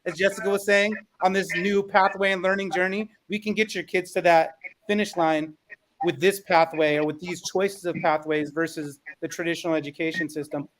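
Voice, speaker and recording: This man has a high-pitched voice for a male speaker. He talks in a conversational panel style, laying out his points in an articulate and persuasive manner. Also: fairly even intonation, fairly quick, fairly bright tone, low-quality recording. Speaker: podcast panelist.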